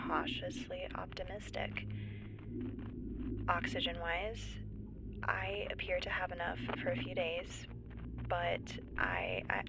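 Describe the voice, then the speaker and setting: A woman playing a nervous stranded geologist in a sci-fi audio drama log, halting and rambling as she tries to stay composed. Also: on the low side, gravelly, noisy background, full character voice. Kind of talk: audio drama monologue, found-footage log.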